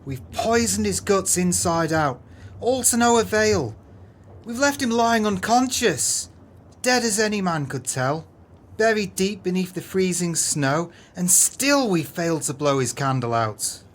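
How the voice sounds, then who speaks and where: A man's voice: high voice, lively intonation, unhurried. A man playing a haughty, easily exasperated crooked businessman in an audio play, speaking in a pompous, florid way. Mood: frustrated fury.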